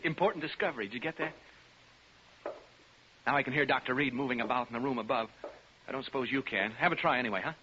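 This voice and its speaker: A man's voice: mid-range voice, fast, pauses often, sharp tone, close to an everyday voice. An actor playing a jittery, skeptical radio DJ alone in a haunted house, stammering and chatting nervously to his listeners in 1940s radio style. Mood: jumpy. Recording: noisy, dull 1940s radio recording.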